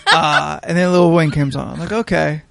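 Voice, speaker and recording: American podcast guy telling a story, gravelly, kinda hesitant and wry, dry close sound. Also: fairly high pitch, unhurried, a bit bright, dry recording. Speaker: podcast host. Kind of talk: casual podcast chat, telling an anecdote.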